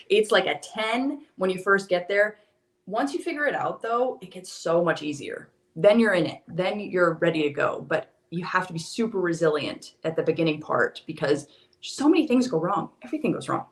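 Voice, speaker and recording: A young American woman, a guest on a podcast, telling stories about moving abroad in a chatty, animated way. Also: lowish voice. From United States. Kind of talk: casual podcast conversation.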